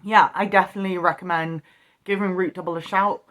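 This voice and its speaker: Woman guest reviewer, lowish voice, casual and giggly, just chatting about games. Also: fairly even intonation, a little fast, a bit bright.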